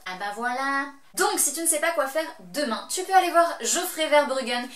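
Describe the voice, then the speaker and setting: Belgian lady vlogger, high bright voice, teasing and chatty, roomy echo. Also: expressive, normal speed. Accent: Belgian French. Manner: playful, teasing, talks straight to viewer. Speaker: vlog host reviewing shows. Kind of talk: chatty show review vlog.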